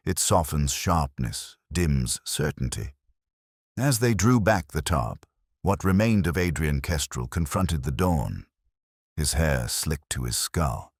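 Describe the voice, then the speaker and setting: A man playing a weary, melancholy police inspector, narrating a detective drama in solemn, measured, literary phrasing. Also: a bass voice, dry recording, clean studio sound, heavily acted. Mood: somber, resigned. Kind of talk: first-person scripted detective drama narration.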